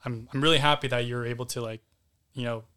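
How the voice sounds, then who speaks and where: Man, podcast guest, casual chat, flat pitch, rambling with fillers. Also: fast talker, clear, resonant tone. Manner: rambling, many fillers and restarts.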